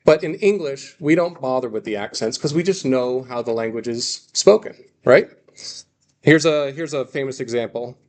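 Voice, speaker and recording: A man with a mid-pitched voice teaches a class in an informal lecture style. He is reassuring, stresses key points by repeating them, and keeps checking that listeners follow. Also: normal speed, a little mellow. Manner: reassuring, often checks understanding with "okay" and "right". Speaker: language teacher.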